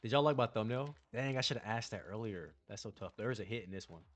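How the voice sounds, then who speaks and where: A man's voice: fairly low pitch, fast talker, a bit bright, soft background music. A young man streamer chatting casually to his live chat in slangy, laid-back talk while opening trading cards. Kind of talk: casual livestream card-opening commentary.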